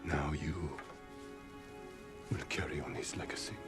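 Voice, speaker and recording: An actor playing a stern, noble middle-aged samurai lord in a video game, grave, formal and hushed. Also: male voice, a bass voice, steady tone, a little slow, loud music behind the voice. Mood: solemn. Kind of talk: dramatic video game acting.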